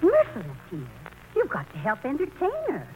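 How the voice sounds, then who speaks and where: A woman's voice: mid-range voice, huge pitch swings, normal speed, dark tone. An actress playing a fretful, flustered older wife and doting mother in a 1940s radio play, anxious and coaxing. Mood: coaxing, scolding. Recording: muffled, noisy old radio broadcast.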